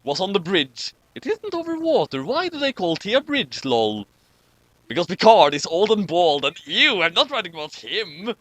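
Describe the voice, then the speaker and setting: A man hosting and narrating in a casual, wordy, deliberate way. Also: high voice, big pitch swings, fairly bright tone, dry recording. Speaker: channel host and narrator. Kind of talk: casual banter and comic story reading.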